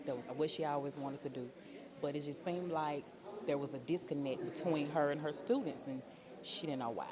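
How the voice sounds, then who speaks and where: A woman's voice: low-pitched, brisk. A woman, an actress talking casually in an interview. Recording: crowd chatter behind the voice.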